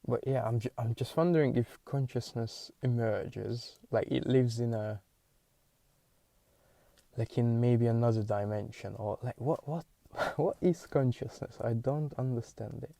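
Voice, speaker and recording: Hungarian man musing aloud, gravelly. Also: mid-range voice, dark tone, no room sound. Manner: halting, searching, thinking aloud with many restarts. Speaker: podcast host. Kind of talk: solo musing monologue.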